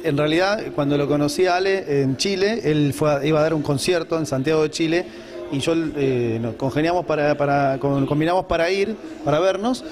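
A middle-aged Argentine man gives casual interview answers as a musician guest. His voice sits a little high, picked up by a handheld microphone in an indoor room.

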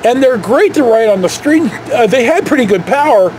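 A man's voice: very high voice, animated, a little fast, darkish tone, a little hiss or hum, poor audio. A middle-aged man with an American accent, rambling through a casual personal story to camera. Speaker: storyteller vlogger. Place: inside a motorhome.

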